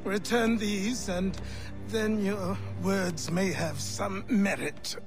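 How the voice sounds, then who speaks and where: An actor playing a proud, sardonic old mentor in a video game, with a dry, mocking, drawn-out delivery. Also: male voice, sits high, steady tone. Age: older. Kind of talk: video game cutscene acting. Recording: music behind the voice.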